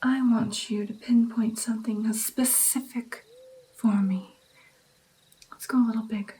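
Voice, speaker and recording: A woman playing a doting, playful female vampire mentor in an ASMR roleplay, speaking softly and breathily, often in a whisper, coaxing and encouraging. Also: fairly high pitch, fairly even intonation, close to an everyday voice. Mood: thoughtful, instructing. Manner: slow, coaxing, encouraging, lingering over phrases. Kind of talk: ASMR roleplay, personal attention.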